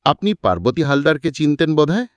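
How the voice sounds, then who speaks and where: A man's voice: fairly high pitch, very animated, a little fast, dry recording, pitched above the performer's own voice. A man voicing a polite, well-read detective in a story, asking courteous, probing questions.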